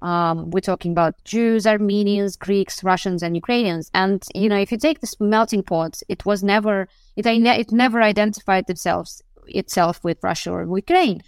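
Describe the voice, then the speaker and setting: A woman's voice: medium pitch, moderate pace, hardly any pauses, smooth, dry recording. Woman on a remote call, dry sound. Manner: thoughtful, searching, frequent restarts. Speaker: foreign correspondent guest. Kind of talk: news podcast interview answer.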